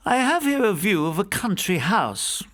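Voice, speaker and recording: A man's voice: high-pitched, sing-song, moderate pace, fairly bright tone, voice pushed up. A man voicing an assured, methodical university don in an audiobook, precise and matter-of-fact in his observations.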